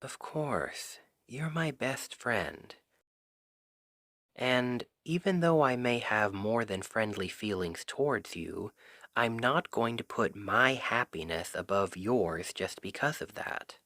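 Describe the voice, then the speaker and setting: A man acting a shy, earnest best friend in an intimate ASMR roleplay, speaking softly and haltingly. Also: medium pitch, animated, dry recording, clean studio sound, full character voice. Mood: nervous, tender confession. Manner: hesitant, halting, soft-spoken. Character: shy, earnest male Cupid best friend confessing love.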